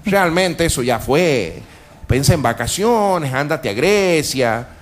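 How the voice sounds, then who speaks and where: A man's voice: fairly high pitch, expressive, takes it slowly. A man playing a folksy, foul-mouthed, exasperated Argentine provincial boss in a satirical radio sketch, grumbling and repeating himself colloquially. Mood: weary, bossy. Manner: grumbling, colloquial, repeats words and pet address.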